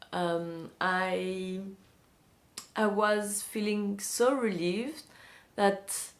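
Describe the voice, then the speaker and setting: A young woman with a French accent, talking casually and hesitantly to camera in a vlog, with many pauses and restarts. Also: medium pitch. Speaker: vlogger, magazine publisher.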